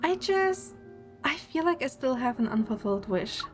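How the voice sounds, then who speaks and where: A woman's voice: high-pitched, big pitch swings, moderate pace, faint music behind. A woman acting a playful, affectionate and wistful young woman in love in a romantic audio drama.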